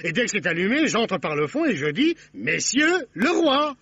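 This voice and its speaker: Radio drama: flamboyant, fussy director, bright and high, exclaiming and coaxing, dry muffled archive sound. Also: male voice, sing-song, moderate pace, husky, no echo, heavily acted. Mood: grandly explaining. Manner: theatrical, exclamatory, cajoling, sings trumpet cues. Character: fussy, excitable theatrical director, gushing with pet names. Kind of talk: French radio play acting. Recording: dull band-limited old radio recording.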